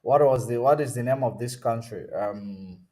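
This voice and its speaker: A man's voice: medium pitch, normal speed, dark tone. A man hosting a political commentary livestream, rambling and answering viewer comments as he goes, often stopping to search for words. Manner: rambling, searches aloud for words with many ums. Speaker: host.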